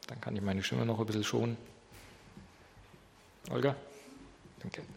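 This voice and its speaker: A man's voice: medium pitch, fairly even intonation, a little slow, creaky, darkish tone, slight background noise. A man with a slight cold who opens in prayer and then leads a Bible study, asking his group questions. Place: church hall. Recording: long hall reverb.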